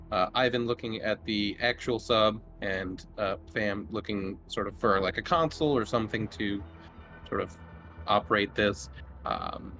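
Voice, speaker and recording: A man acting as game master, describing scenes for a tabletop horror game in a halting, improvised way. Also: on the low side, a bit bright.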